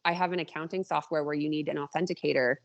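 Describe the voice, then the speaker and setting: A woman's voice: low-pitched, steady tone, fast, dull audio. A woman, around her thirties, chatting casually and animatedly as an author being interviewed on a podcast, with a self-deprecating manner. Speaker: podcast guest, indie author.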